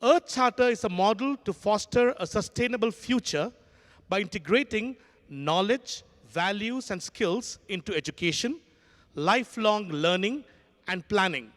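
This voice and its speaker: A man's voice: high-pitched, lively intonation, unhurried, a bit bright. A man hosting a conference, formally reading out a speaker's introduction. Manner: formal, announcing. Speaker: conference host. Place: large conference hall. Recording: reverberant hall.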